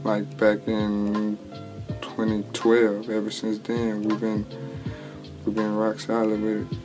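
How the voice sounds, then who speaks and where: A man's voice: fairly low pitch, completely flat delivery, slow pace. A twenty-year-old man, a rapper, answering interview questions in a laid-back, drawling way with lots of slang and restarts, in a small home studio. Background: music bed under the talk.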